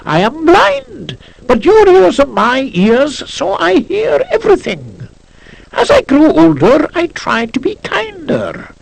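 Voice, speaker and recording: A man voicing a blind, weak old emperor, gently menacing, in a story reading. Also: very high voice, wildly swooping pitch, slow pace, vocal fry, pitched above the performer's own voice, exaggerated voice. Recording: hissy lo-fi cassette transfer.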